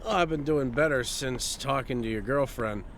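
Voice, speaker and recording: A man's voice: mid-range voice, moderate pace, a bit bright, slight background noise, close to an everyday voice. A man playing a chatty, upbeat, friendly guy in an indie audio drama, eager and conversational.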